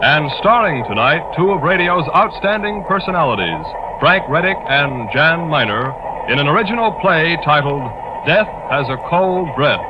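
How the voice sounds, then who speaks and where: A man's voice: a little high, animated, moderate pace. A man working as a radio announcer in formal, declamatory 1950s style. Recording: muffled vintage radio, loud noise.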